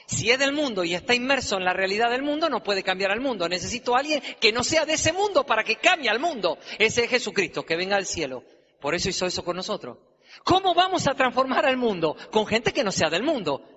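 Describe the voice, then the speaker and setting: A man from Argentina preaching a sermon, building up to loud, emphatic peaks. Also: very high voice, big pitch swings, fast talker. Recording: dull, reverberant hall with faint noise.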